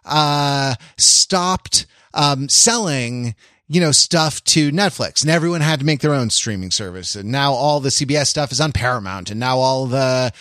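An American man talks pop culture as a podcast panelist. His voice sits at a medium pitch, and he wanders through digressions, leaving thoughts half finished. The sound is very dry, with no room on it. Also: lively intonation, moderate pace, a bit bright, dry recording. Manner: digressive, discursive, trailing off mid-thought. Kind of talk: casual pop culture discussion.

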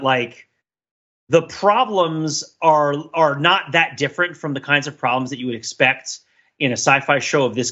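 Guy podcasting casually, bright punchy voice, rambly and rewording himself, kinda muffled audio. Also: a little high. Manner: halting, digressive, self-correcting. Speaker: podcast co-host. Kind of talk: casual pop culture discussion.

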